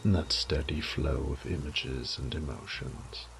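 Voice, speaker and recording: A man playing a suave, sadistic vampire lord in a horror audio roleplay, with a theatrical, ornate, taunting delivery that often drops to a breathy whisper. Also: extremely low pitch, steady tone, slow pace, noisy background, heavily acted. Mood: musing, taunting. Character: suave, sadistic male vampire lord toying with his captive prey.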